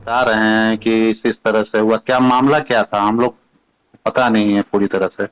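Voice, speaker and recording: Low, smooth male interviewer on the phone. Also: little pitch movement, moderate pace. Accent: Bihari. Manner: frequent short backchannel acknowledgements. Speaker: news editor, interviewer. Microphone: telephone. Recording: recorded phone call.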